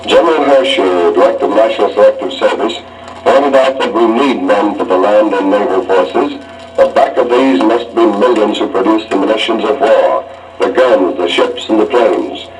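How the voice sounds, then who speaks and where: An American man reading a scripted 1940s radio newscast in a formal, measured announcer delivery, on an old disc recording. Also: on the low side, soft background music. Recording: 1941 radio disc recording, distant sound.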